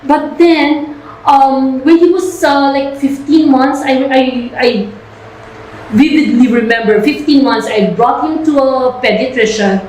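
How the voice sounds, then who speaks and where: A woman telling a personal story as a co-host, casual and halting, repeating words as she goes. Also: sits high, a little mellow. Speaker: co-host and parent. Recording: very echoey room with faint noise.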